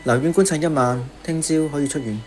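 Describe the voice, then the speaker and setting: A man playing a calm hospital doctor in an audio drama, matter-of-fact in manner. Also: fairly high pitch, a little fast, faint music behind, close to an everyday voice. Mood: calm, reassuring. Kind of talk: Cantonese audio drama acting.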